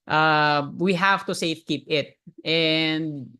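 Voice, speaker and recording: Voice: medium pitch, fairly even intonation, slow, pushed and bright, clear, resonant tone. A trading educator with a Filipino accent, whose first language is Tagalog, giving a live market lesson and asking viewers to type in chat. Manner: addresses viewers directly, asks them to type in chat; frequent fillers and restarts.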